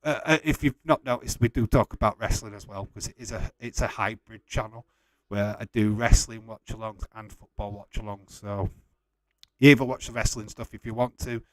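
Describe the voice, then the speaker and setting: A man's voice: on the low side, fairly even intonation, moderate pace, dry recording. A man in his forties with a Yorkshire accent, hosting a casual livestream and reading out comments in a blunt, chummy, informal way. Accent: Yorkshire English. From West Yorkshire, England. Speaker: livestream host. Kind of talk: casual watch-along chat, reading out viewer comments.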